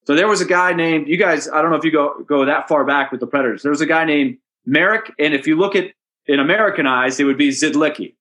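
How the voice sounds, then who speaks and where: A hockey play-by-play announcer answering interview questions in a relaxed, anecdotal way, chatty and amused. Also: male voice, on the high side, fast, poor audio. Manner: chatty storyteller, amused, with restarts and asides.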